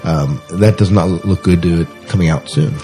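A man's voice: sits low, normal speed, dark tone, faint music behind. A man hosting a podcast, talking in a casual, conversational way. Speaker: podcast host.

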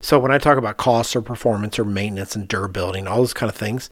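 A man, an architect hosting a podcast, chatting casually and telling animated anecdotes in which he quotes other people. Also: on the low side, very fast, no room sound, clean studio sound.

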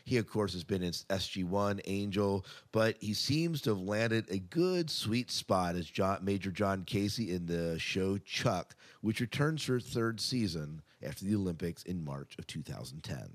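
An American man hosts a podcast in a casual, conversational style. His voice sits on the low side as he chats through news items. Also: lively intonation, normal speed, few pauses. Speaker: podcast co-host. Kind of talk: casual entertainment news chat.

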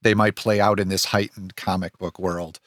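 A man's voice: low voice, little pitch movement, normal speed, fairly bright tone, no echo, studio quality. A man hosting a podcast, discussing films and reading scripted narration. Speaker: podcast host.

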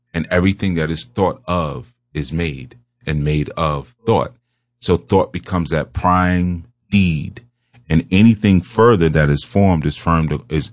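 A man giving a spiritual teaching talk, speaking slowly and emphatically and repeating his key phrases. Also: sits low, no room sound.

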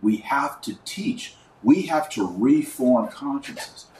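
A man hosting a religious commentary podcast, speaking in an emphatic, didactic, preachy way with deliberate stresses and repetition. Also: mid-range voice, expressive, a little mellow. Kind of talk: preachy commentary to camera or microphone. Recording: roomy echo, distant mic, faint noise.

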